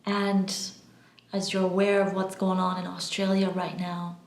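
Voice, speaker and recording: A woman from Albania gives a spiritual monologue to her followers, speaking emphatically and deliberately, with pauses and repeated words. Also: lowish voice, level tone. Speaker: spiritual channeler and video host. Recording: roomy, echoing room sound.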